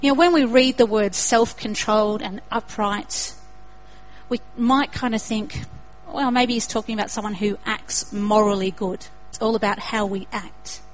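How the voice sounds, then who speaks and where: A woman's voice: medium pitch, steady tone, moderate pace, poor audio. A young woman with an Australian accent preaching a sermon in an earnest way, building to emphatic repetition.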